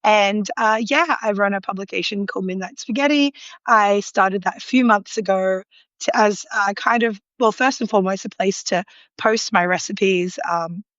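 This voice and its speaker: A woman's voice: mid-range voice, moderate pace, fairly bright tone, no echo, lo-fi sound. A woman with an Australian accent, a guest talking casually in a live online interview, with many ums and likes and frequent small laughs. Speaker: guest, food illustrator. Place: apartment in a loud city, sirens outside. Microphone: remote live call.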